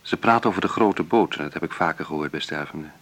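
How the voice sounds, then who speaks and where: A kindly, serious doctor in a radio play. His voice is deep and firm-edged, and he speaks in measured phrases, blunt but gentle. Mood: gentle, resigned. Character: grave, kindly family doctor, male. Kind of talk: naturalistic radio drama acting.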